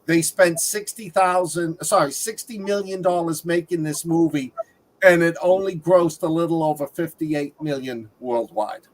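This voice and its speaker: A man's voice: a little high, moderate pace. A man co-hosting a casual movie discussion, adding facts and figures in a conversational way. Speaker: movie podcast co-host.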